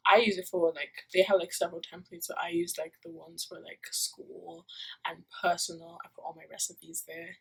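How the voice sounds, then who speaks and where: A woman's voice: lowish voice, level tone, moderate pace, creaky, fairly bright tone, slightly rough, poor audio. A young woman from Nigeria, a university student, rambling through a casual vlog with lots of fillers, recorded on a phone taped to the wall of her room. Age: young adult. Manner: rambling, many fillers and self-corrections.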